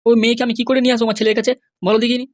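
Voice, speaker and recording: A woman's voice: a little high, flat delivery, very fast, darkish tone, lightly acted. A woman voicing a middle-aged father and local politician in a cartoon, exasperated, grumbling and full of bluster. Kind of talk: cartoon dialogue.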